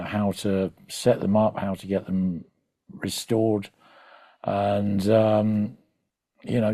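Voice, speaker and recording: A man's voice: lowish voice, warm tone, dry recording. A man interviewing a guest in an informal, rambling, conversational way. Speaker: interviewer, host.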